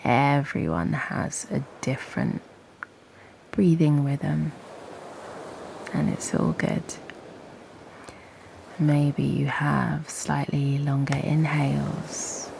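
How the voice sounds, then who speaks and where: A woman guides a meditation in a very slow, soothing delivery with long pauses. Her voice is low and breathy, often slipping into a half-whisper, with a creaky edge. Some ambient noise sits behind her. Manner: gentle, unhurried, with long pauses.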